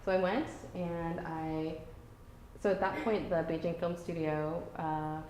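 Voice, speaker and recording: A woman's voice: fairly low pitch, steady tone, measured pace, darkish tone. A woman professor from Michigan, talking to new students in a friendly, informal way with fillers. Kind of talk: casual talk to students. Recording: echoey room, faint noise.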